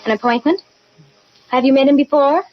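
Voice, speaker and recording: A woman as a high-voiced polite secretary. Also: fairly quick, natural voice. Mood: polite, businesslike. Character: polite, efficient female office secretary. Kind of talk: old radio drama acting. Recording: dull old radio recording.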